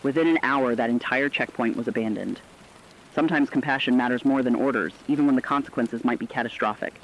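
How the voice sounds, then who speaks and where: A man's voice: mid-range voice, fast talker, slight background noise, lightly acted. Somber radio commentator, dull lo-fi sound, man acting. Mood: somber, earnest. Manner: earnest, reflective. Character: somber, compassionate male radio commentator amid apocalypse. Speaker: radio co-anchor. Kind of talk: scripted reflective news commentary.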